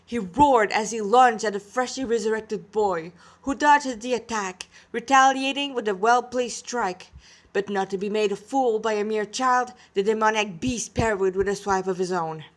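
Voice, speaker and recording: A woman's voice: medium pitch, moderate pace. A woman reading scripted fiction aloud as an amateur storyteller.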